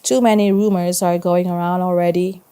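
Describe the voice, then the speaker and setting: A kindly man at a school read by a woman speaks in a storybook scene. The tone is mellow and concerned, thoughtful and gently admonishing. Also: fairly low pitch, level tone, moderate pace, clear, resonant tone, lightly acted. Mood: worried.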